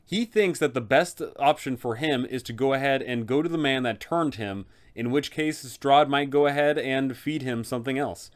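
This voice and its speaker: A man's voice: medium pitch, normal speed, few pauses. A man hosting an explanatory guide for game masters, addressing the viewer directly and posing rhetorical questions. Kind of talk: explanatory video narration with advice for game masters.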